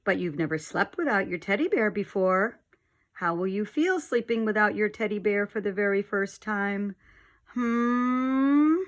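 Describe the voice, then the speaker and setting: A woman's voice: mid-range voice, lively intonation, measured pace, natural voice. A woman voicing a teasing older sister in a storybook, needling with pointed, sing-song questions.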